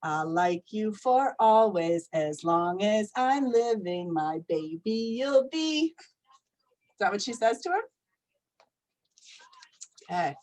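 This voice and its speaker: A woman reading a children's story aloud in a gentle, repetitive storybook cadence. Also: mid-range voice, lively intonation, takes it slowly, long pauses.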